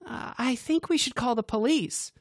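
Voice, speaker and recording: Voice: mid-range voice, moderate pace, no room sound, studio quality, voice pushed up, lightly acted. A narrator voicing a nervous, hesitant young woman. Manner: hesitant, worried.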